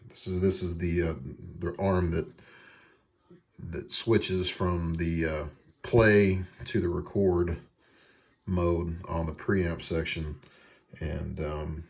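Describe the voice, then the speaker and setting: A man giving a casual hands-on repair tutorial, explaining things in a halting, hesitant way. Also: low-pitched, fairly even intonation, darkish tone. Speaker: repair video host.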